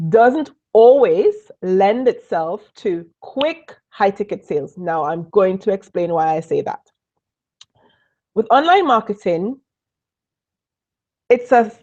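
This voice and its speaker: Woman, Trinidadian accent with British touches, business coach, lively, repeats points, mid pitch, slightly muffled audio. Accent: Trinidadian, mixed with British and Northern Irish. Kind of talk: live online training.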